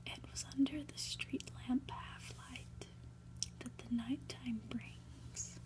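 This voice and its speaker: A woman reading a story aloud as ASMR in a soft, breathy whisper, with a hushed, gentle, deliberate delivery. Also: sits high, steady tone. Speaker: host reading a graphic novel aloud.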